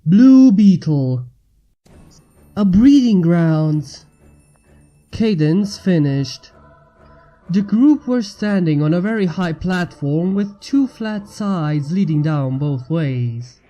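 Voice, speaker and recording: A man's voice: sits high, expressive, takes it slowly, dark tone, dull audio. A man reading a fan fiction story aloud as scripted narration. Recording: music bed behind the narration.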